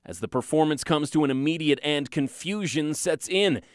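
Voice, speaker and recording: A man's voice: a little high, moderate pace, clear, resonant tone, dry recording, studio quality. A man narrating a scripted news report as a reporter.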